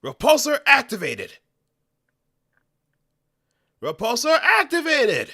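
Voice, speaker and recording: Fighting game hero, played by a man: high, bright, urgent battle shouts, explosive delivery. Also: wildly swooping pitch, slow, creaky, no echo, clean studio sound, full character voice. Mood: battle fury. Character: shouting male fighting game hero firing off attack callouts. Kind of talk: improvised video game battle lines.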